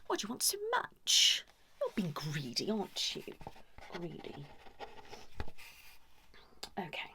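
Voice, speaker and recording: A cheeky British female couples therapist, played by a woman in an ASMR roleplay. She speaks in soft whispers on the lower side, with plenty of swoops in pitch. She is blunt and nosy, rambling through hesitant, muttered asides. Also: wildly swooping pitch, takes it slowly, long pauses, exaggerated voice. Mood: matter-of-fact, teasing.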